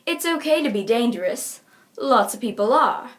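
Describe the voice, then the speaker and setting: A woman voicing an earnest, protective young troll girl in a halting manner. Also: a little high, lively intonation, natural voice. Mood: earnest, reassuring. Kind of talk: fan character voice acting reel.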